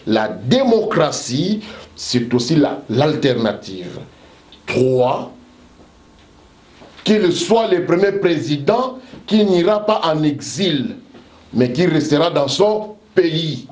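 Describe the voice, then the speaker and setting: Male pastor, preaching cadence, slow emphatic delivery, roomy echo. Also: a little high, sing-song, darkish tone. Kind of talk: impassioned debate speech.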